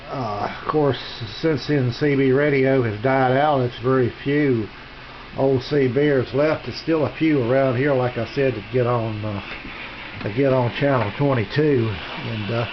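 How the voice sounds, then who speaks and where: A middle-aged or older man giving a casual, unscripted show-and-tell as a hobbyist, with a halting, folksy delivery, recorded on a handheld camera in a small home room. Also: medium pitch. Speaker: radio hobbyist presenting his equipment. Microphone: handheld camera's built-in microphone. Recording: dull lo-fi camera audio, background noise.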